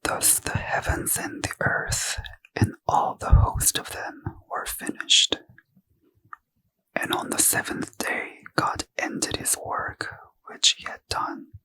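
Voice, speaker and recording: A man whispers a bedtime scripture reading for sleep, his voice almost entirely breath. The whisper is bright and hissy, and he takes each phrase slowly and gently. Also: low-quality recording. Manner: gentle unvoiced whisper, unhurried. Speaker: ASMR reader.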